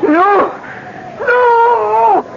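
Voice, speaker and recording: A woman's voice: very high voice, drawn-out words, gravelly, exaggerated voice. A woman playing a terrified captive in a 1970s radio drama, crying out in anguish. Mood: desperate, distraught. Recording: muffled, very noisy old radio recording.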